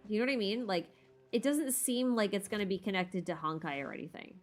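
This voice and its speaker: A woman with a medium-pitched voice chats casually on a livestream as she plays a game. She is playful and self-deprecating, rambling along and drifting into little muttered asides. Music plays behind her. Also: fairly quick. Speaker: streamer hosting a live Q&A. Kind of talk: casual rambling livestream chat while gaming.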